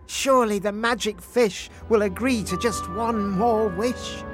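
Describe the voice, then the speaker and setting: Man doing a whiny, high-pitched greedy husband. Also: unhurried, music in the background. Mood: excited, pleading. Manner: whiny, emphatic. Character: greedy, discontented husband craving admiration.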